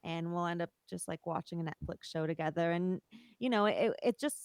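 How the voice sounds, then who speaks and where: A woman's voice: lowish voice, brisk, darkish tone, clear, resonant tone, no room sound, studio quality. A young woman from Toronto chatting casually as an interview guest and musician. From Toronto, Canada. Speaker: interview guest, country singer-songwriter.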